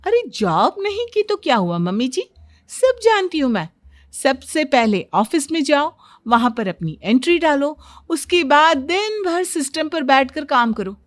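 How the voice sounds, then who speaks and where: A woman's voice: high voice, very animated, a little slow, no echo, studio quality, pitched above the performer's own voice. A woman voicing an eager, boastful young daughter-in-law in a cartoon family story, insistent and keen to explain everything.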